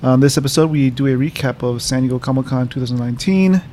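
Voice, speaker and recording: A man's voice: mid-range voice, fast talker, mellow. A man hosting a podcast, introducing guests casually with frequent uhs and ums. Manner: hesitant, many uhs and ums. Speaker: podcast host. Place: comics shop cafe. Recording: faint ambient noise in a cafe.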